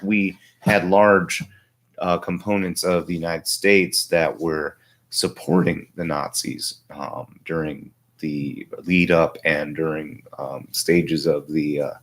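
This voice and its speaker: A man in his forties with a tiring voice, talking through political commentary in a halting, reflective way as a livestream host. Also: low-pitched, a bit bright. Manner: halting, reflective, thinking aloud. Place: small home workshop, indoors.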